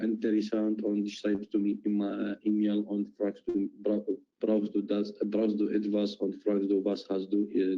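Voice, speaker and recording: A man speaks slowly and haltingly in a low, very dark voice. He hesitates often, padding his answers with fillers. The sound is dull and lo-fi, like an online call. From Iraq. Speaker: project participant. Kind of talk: interview answers in learner German. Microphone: video call.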